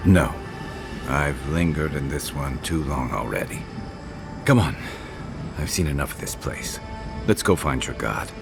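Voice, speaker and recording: An actor playing a gruff, haunted veteran adventurer in a video game, weary and wry, with a gravelly voice. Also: male voice, expressive, measured pace, exaggerated voice. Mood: resolute. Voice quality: very deep, gravelly. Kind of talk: video game character acting. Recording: music behind the voice. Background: music.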